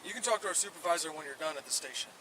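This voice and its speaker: A man's voice: fairly high pitch, lively intonation, very fast. A male police officer at a traffic stop, blunt and impatient. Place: outdoors, roadside. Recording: bodycam audio with loud traffic noise.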